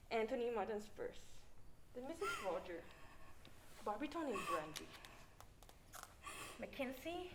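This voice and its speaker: A young woman acting a deranged, gloating judge turned murderer in a theatrical stage monologue, swinging between hushed menace and outbursts. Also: on the high side, heavily acted. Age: young adult. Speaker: student stage actor. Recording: faint noise, live stage play.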